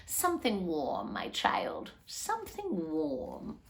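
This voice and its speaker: A tender old storybook lady, put on by a woman reader. She speaks softly and slowly, dropping low in pitch with a gentle, wistful manner. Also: wildly swooping pitch, vocal fry, exaggerated voice.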